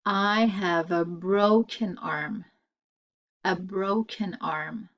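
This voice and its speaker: A woman gives an English vocabulary lesson at an extremely slow pace, repeating every phrase with care for learners. Her voice sits at a middle pitch. The recording sounds a little dull. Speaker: English teacher.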